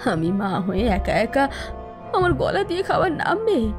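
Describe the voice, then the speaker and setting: A caring village mother from a moral-story cartoon. She speaks in a gentle, coaxing way with lively rises and falls, over faint music. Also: fairly high pitch, measured pace. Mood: affectionate coaxing.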